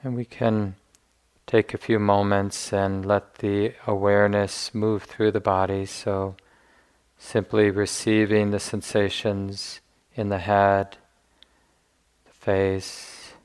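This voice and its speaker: A man leading a guided meditation as a teacher, speaking gently in unhurried phrases with long pauses. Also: lowish voice, flat delivery, clean tone.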